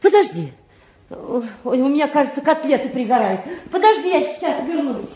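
An actress playing a fussy, evasive middle-aged housewife in a radio play, hesitant and politely apologetic. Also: female voice, high voice, very animated, normal speed, dark tone. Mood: flustered, evasive. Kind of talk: 1950s radio play acting.

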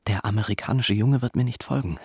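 A performer playing a scheming, tense and defiant German boy with a husky voice in a radio drama. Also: mid-range voice, fast, a little mellow, no room sound, studio quality.

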